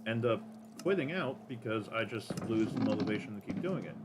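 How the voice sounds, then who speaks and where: A man with a deep voice casually rambling to himself while streaming a game. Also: lively intonation, fairly quick, loud music behind the voice. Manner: rambling, self-talking, counts under breath. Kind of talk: casual gameplay commentary.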